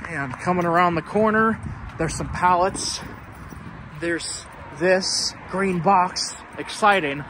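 Young guy rambling as he walks around, kinda high voice, wind and outdoor noise on the camera mic. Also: slow. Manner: chatty, rambling asides. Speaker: urban explorer vlogger. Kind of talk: casual walking commentary. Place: outdoors by an empty store building.

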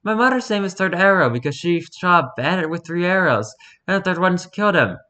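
A man's voice: high voice, very animated, measured pace, bright, forceful tone, pitched above the performer's own voice, exaggerated voice. A man voicing a brash, teasing nomad girl with a light, mocking manner in a storybook reading. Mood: light, amused.

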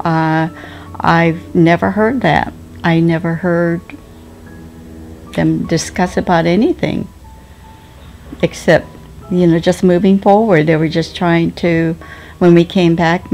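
A woman in her seventies whose first language is Japanese, recalling the past slowly and hesitantly, with many ums and restarts. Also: on the low side, a little mellow. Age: 70s. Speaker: interviewee. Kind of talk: slow reflective recollection. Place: sunlit living room at home.

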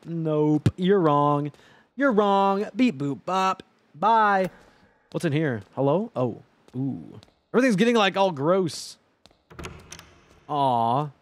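Lively, animated young man streaming. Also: fairly high pitch. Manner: loud, swearing, joking, animated. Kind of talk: casual gameplay commentary with chat. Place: home gaming room, indoors. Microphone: headset.

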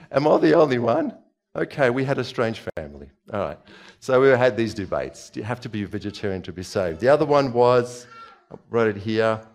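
Chatty middle-aged preacher quizzing the audience. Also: male voice, medium pitch. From Czechoslovakia. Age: 40s. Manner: chatty, questions the audience, moves about gesturing. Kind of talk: conversational sermon. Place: church hall stage. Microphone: headset.

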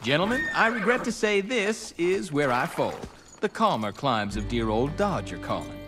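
An actor playing an educated, theatrical gentleman outlaw in a western game, speaking in florid, wordy phrases. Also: a little high, big pitch swings, moderate pace, big-room sound, music in the background. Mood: courtly farewell. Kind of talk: video game western acting.

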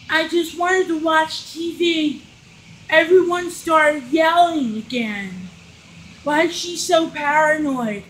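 Slow, high-voiced fretful old lady. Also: a bit bright, a little hiss or hum, rough recording. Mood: bewildered. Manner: plaintive, drawn-out questioning. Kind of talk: comic household argument skit.

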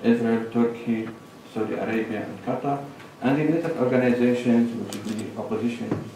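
A middle-aged man with a Lebanese accent giving a measured academic presentation at a lectern, pausing between listed points. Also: medium pitch, fairly even intonation, mellow, a little hiss or hum. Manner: measured, lists points with pauses. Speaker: conference speaker. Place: large lecture hall. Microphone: lectern microphone, far camera. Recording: echoey hall recorded from far off.

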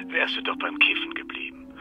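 An actor in a radio crime drama playing a corrupt, mocking police officer who taunts in drawn-out, half-whispered threats. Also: male voice, lo-fi sound, lightly acted.

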